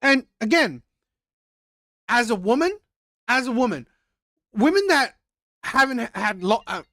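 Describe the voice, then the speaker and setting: Man mocking in a lively, bouncy voice. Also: very high-pitched, unhurried, no echo, clean studio sound. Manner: mocking, repeats himself, mimics voices. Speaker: commentator reacting to a clip. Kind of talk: reaction commentary.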